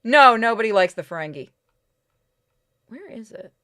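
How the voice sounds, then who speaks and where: Bright-voiced woman chatting on a game stream. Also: on the high side, lively intonation, normal speed. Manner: informal, chatty, swears. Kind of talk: casual chat while playing a game on stream. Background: game music.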